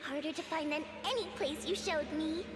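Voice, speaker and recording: A woman as a very high, eerie little girl. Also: normal speed, background noise, lightly acted. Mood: playful, haunting. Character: dead young girl, half-sister, sweetly eerie and accusing. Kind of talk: dramatic video game voice acting.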